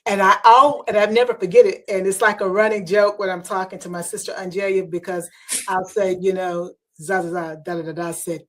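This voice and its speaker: A woman's voice: mid-range voice, fairly even intonation, fairly quick, slight background noise. Chatty, playful woman podcast host. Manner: chatty, storytelling, playful asides. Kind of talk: casual podcast chat. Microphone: remote video call.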